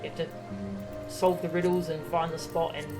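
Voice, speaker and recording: A woman's voice: sits low, flat delivery, normal speed. A woman chatting casually while streaming a video game, in a playful, easygoing manner. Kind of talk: casual livestream gameplay chat, reading game text aloud. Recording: game music behind the voice.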